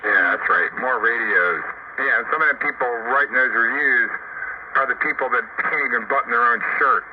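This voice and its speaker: A man's voice: medium pitch, a little fast. A plain-spoken man with a wry manner, chatting casually over two-way radio. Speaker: amateur radio operator. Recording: noisy, muffled two-way radio transmission.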